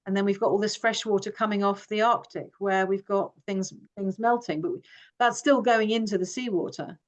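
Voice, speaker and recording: Woman raised in Hong Kong, forum speaker, earnest and halting, mid-pitched voice. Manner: earnest, many restarts and fillers. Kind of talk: informal presentation.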